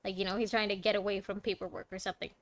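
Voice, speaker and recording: A woman's voice: medium pitch, flat delivery, rapid-fire. A woman streaming a video game, giving casual, reactive commentary as she muses informally on what she plays. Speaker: gaming streamer. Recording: game music behind the voice.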